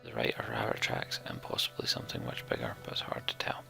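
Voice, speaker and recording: A man narrating a fantasy game's text like a storybook, in a hushed, theatrical manner. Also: fast talker, whispered, music in the background. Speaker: let's play narrator.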